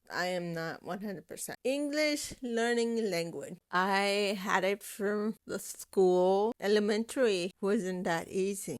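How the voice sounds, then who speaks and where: A woman's voice: lowish voice, clean tone. A young woman whose first language is Spanish, hosting a podcast and telling her own story in a casual, rambling way, often restarting her sentences. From United States. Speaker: podcast host.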